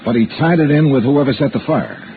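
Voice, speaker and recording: An actor playing a tough, wry American insurance investigator with clipped, probing delivery in a 1950s radio noir drama. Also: male voice, mid-range voice, big pitch swings, brisk, vocal fry, slight background noise. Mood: pressing. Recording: tinny, narrow old radio recording.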